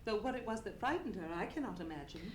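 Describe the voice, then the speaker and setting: A woman playing a frightened, grieving young gentlewoman, telling her story formally and dramatically in a live old-time radio play. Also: medium pitch, big pitch swings, quick pace, lightly acted. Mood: sombre, earnest. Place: theatre stage. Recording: echoey theatre stage, noisy live recording.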